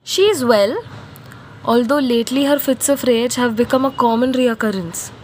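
A woman playing a composed asylum doctor in an audio play, speaking formally and in a measured way. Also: a little high, a little mellow, lightly acted. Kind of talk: amateur audio play acting. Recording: slight background noise.

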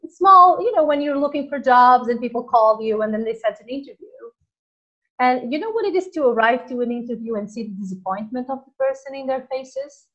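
A woman's voice: a little high, a bit bright, highs cut off. A woman with a Portuguese accent hosting a casual conversation, speaking earnestly and now and then searching for words. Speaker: host.

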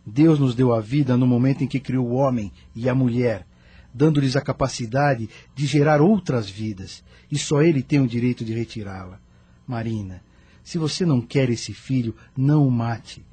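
An actor playing an earnest, moralizing doctor with a husky, rough voice, persuading deliberately in a radio drama. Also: male voice, medium pitch, warm tone, no room sound. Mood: earnest, admonishing.

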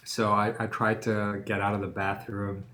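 A man's voice: lowish voice, flat delivery, normal speed, fairly bright tone. A man co-hosting a casual podcast about lawyering, telling stories in a joking way and laughing often. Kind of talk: casual chat.

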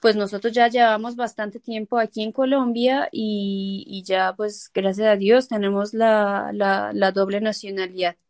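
A woman's voice: mid-range voice, steady tone, measured pace, hardly any pauses, a little mellow, no room sound, clean studio sound. A woman from Iran whose first language is Persian, a guest in a casual interview, talking hesitantly with many fillers and small laughs.

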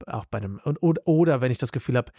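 A man with a gravelly, fry-tinged voice talks about his craft in a relaxed interview. He speaks animatedly, thinks out loud and peppers his sentences with fillers. The sound is dry and close to the mic. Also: medium pitch, racing, no echo. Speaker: voice actor and dubbing director. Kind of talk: casual podcast interview.

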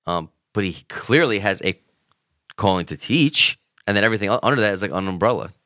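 A man co-hosting a podcast, chiming in with quick agreement during a casual faith discussion. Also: on the low side, animated, pushed and bright, no echo, clean studio sound. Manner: quick to agree, lots of interjections. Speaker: podcast co-host.

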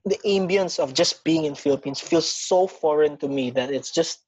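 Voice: medium pitch, moderate pace, darkish tone, husky, poor audio. A speaker from Indonesia with American-leaning English, a school English program coordinator talking things over reflectively in a casual chat. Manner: reflective, self-questioning.

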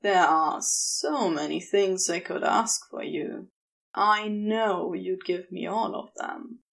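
A woman voicing a commanding but tender male demon lover in a fan fiction audiobook, with deliberate, praising speech. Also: fairly low pitch, vocal fry, a bit bright, full character voice. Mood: tender, coaxing.

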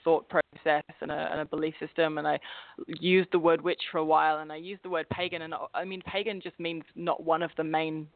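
A woman's voice: low-pitched, flat delivery, a little fast, dry recording. A woman from England with an English accent touched by an Australian twang, chatting as a guest with frequent laughs and restarts. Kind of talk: casual interview chat. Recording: phone-like line, dry.

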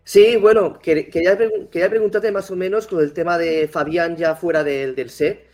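A man co-hosting an interview, asking his questions in a halting way and stumbling over his words. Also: high-pitched, steady tone, darkish tone. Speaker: podcast co-host.

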